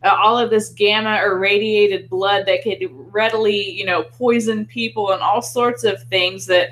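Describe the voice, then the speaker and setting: A woman's voice: medium pitch, fairly even intonation, moderate pace, a bit bright, rough recording. A woman co-hosting a casual film discussion, talking in an enthusiastic, chatty, informal way. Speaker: podcast co-host.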